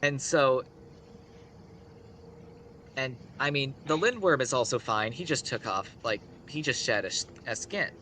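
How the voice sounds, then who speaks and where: A man's voice: medium pitch, animated, a little slow, pauses often, sharp tone, a little hiss or hum. A man telling a story casually on a live stream, rambling with conversational asides.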